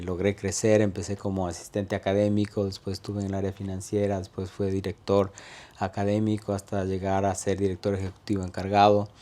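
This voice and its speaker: A man in his thirties with an Ecuadorian accent and a fairly low voice. He talks through his story in a relaxed interview, with frequent fillers and halting restarts as he searches for the next words. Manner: hesitant, many "eh" fillers and restarts. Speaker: guest, restaurant owner. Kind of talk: conversational interview.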